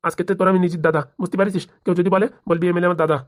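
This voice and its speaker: A woman's voice: lowish voice, flat delivery, racing, lightly acted. A woman voicing a male village politician in a comic cartoon, gushing and familiar, a scheming brotherly patron. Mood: effusive, brotherly.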